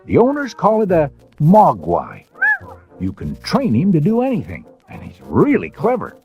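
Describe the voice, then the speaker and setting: An exuberant middle-aged dad and gadget inventor in a storybook dramatization. He has a folksy salesman's eagerness, a fairly high voice and hugely swooping intonation. There is light music underneath. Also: wildly swooping pitch, normal speed, highs cut off. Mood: excited, cheerful.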